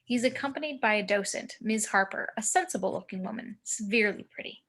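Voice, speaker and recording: Lady reading out script stage directions, medium pitch, online table read. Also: fairly quick, a bit bright. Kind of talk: screenplay table read narration. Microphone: video call.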